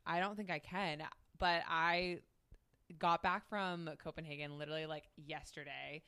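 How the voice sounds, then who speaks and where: A woman with a slightly low voice co-hosts a casual podcast. She chats informally and tells stories with a giggly, loose delivery full of filler words. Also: steady tone, moderate pace, sharp tone, clean tone. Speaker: podcast co-host. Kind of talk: casual chatty banter.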